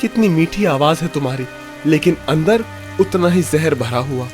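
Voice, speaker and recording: Young suspicious fisherman for cartoon male actor, raised pitch, emphatic delivery, music bed underneath. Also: animated, moderate pace, a little mellow, natural voice. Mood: accusing. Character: young fisherman, bitter, suspicious, stubborn grandson. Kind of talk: dramatised Hindi cartoon story dialogue.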